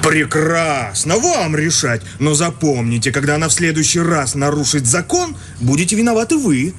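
An actor playing a stern, officious police inspector in a radio play, curt and commanding. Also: male voice, fairly high pitch, sing-song, normal speed, a little hiss or hum.

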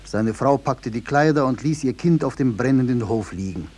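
An actor playing a storyteller who recounts an old grim legend in a measured, declamatory 1950s German radio drama style. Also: male voice, medium pitch, animated, a little mellow, husky. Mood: solemn, narrating. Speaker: narrator of a play.

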